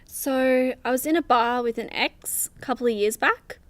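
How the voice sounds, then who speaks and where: Female actor as young speedster heroine for radio comedy. Fairly high, wry, teasing, close dry sound. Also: moderate pace, dry recording, natural voice. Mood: wry recounting. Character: sassy young female superhero speedster, teasing, feeling overlooked. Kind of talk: superhero comedy radio play acting.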